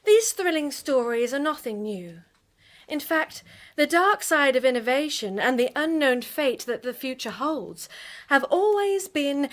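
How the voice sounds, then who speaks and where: An actress as a theatrical radio horror hostess, rather high in voice and slow. She is bewitching and conspiratorial, drawing words out with grand relish like a presenter of old-fashioned radio drama. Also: a bit bright, full character voice. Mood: ominous relish. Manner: dramatic, relishing, drawn-out delivery.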